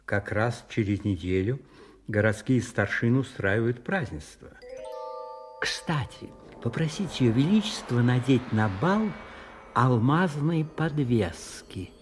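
An actor playing a scheming, commanding French cardinal in a radio drama, measured, courtly and insinuating. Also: male voice, medium pitch, lively intonation, faint music behind, lightly acted. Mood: courtly, persuasive.

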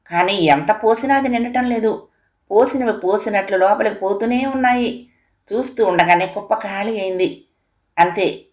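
Medium-pitched woman storyteller. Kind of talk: scripted children's moral story narration.

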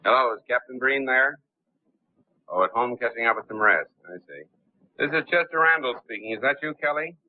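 An actor playing a suave, unflappable gentleman impostor in a 1930s film, speaking politely and smoothly. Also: mid-range voice, lively intonation, a little fast, no echo, lightly acted. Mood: polite, businesslike. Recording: muffled, tinny 1930s film soundtrack.

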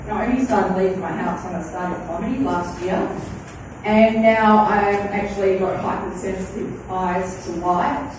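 A woman's voice: medium pitch, normal speed, noisy background. Rambling woman comic in an echoey club. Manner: rambling, self-deprecating, crude, laughs at own jokes. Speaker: stand-up comedian. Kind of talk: stand-up comedy set. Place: small comedy club stage. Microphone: handheld stage mic, filmed from the audience. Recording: distant, echoey club room with faint ambience.

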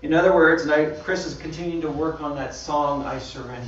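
Canadian pastor preaching in a roomy hall. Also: male voice, a little high, brisk, slight background noise. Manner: conversational, self-deprecating, laughs often. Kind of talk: sermon with slides. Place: small church hall. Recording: dull, roomy sound from far off.

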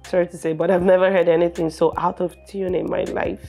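A woman's voice: fairly low pitch, flat delivery, moderate pace, vocal fry, dark tone, soft background music. An actress playing an outspoken young female captive who is cheeky and also pleads, in a film drama. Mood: blunt, mocking. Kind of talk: film acting.

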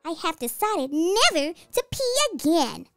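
A woman voicing a loud, stubborn little boy in a storybook, yelling with drawn-out emphasis. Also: extremely high pitch, very animated, dry recording, faint music behind, voice pushed up, heavily acted. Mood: stubborn refusal.

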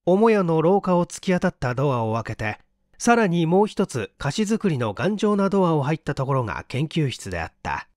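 A man reading a story aloud as an audiobook narrator, in an expressive scripted delivery. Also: on the high side, normal speed, no room sound, studio quality.

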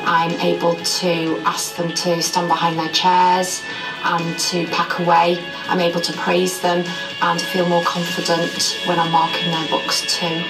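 A woman's voice: lowish voice, completely flat delivery, measured pace. A woman teacher speaking in a plain, modest way about learning a language. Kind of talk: interview in a school promo film. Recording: roomy, far off, with music.

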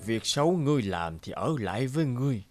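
A man's voice: mid-range voice, sing-song, quick pace, a little mellow, dry recording, faint music behind, close to an everyday voice. A man voicing a stern, cryptic old wanderer in a cartoon fairy tale, solemn and sententious.

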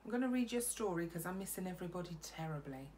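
A middle-aged woman reading a children's bedtime story expressively, with dramatic pauses. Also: on the low side, expressive, a little mellow, a little hiss or hum. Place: small room at home.

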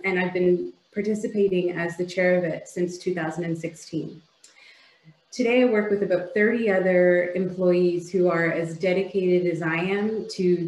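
A woman's voice: fairly low pitch, a little mellow. Canadian woman presenting, roomy sound. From Ontario, Canada. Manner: polished, upbeat presenting with occasional fillers. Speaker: keynote speaker. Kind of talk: presentation over a video call. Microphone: video call.

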